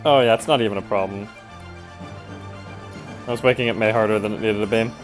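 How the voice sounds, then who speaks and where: A man's voice: lowish voice, steady tone, a little fast, soft background music. A man streaming a video game with casual, wry, grumbling commentary.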